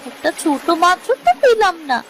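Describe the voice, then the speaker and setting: Woman voicing an excitable, very high-pitched little girl. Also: moderate pace, soft background music, full character voice. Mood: eager. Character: eager young village girl, excitable daughter. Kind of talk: cartoon voice acting.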